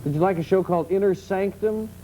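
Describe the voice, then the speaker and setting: A man's voice: sits high, fast talker, mellow, slight background noise, rough recording. An American man hosting a studio talk show, conversationally questioning a guest and audience members.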